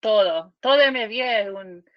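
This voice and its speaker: Halting woman speaking learner's Spanish. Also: fairly high pitch, no room sound, dull audio. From Michigan, USA. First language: English. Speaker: podcast guest.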